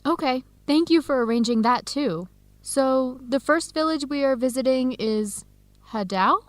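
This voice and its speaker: Casting: eager young woman student, higher voice, clear simple delivery for learners, close dry radio-drama sound. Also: a little slow, no echo. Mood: upbeat, friendly. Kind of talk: scripted educational radio drama dialogue.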